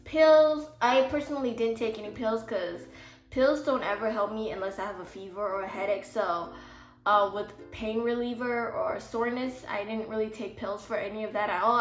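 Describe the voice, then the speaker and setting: A woman vlogger giving casual advice in a rambling, chatty way, with a slight lisp from her braces. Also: on the high side. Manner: chatty, rambling, heavy use of "like". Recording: echoey room with faint music.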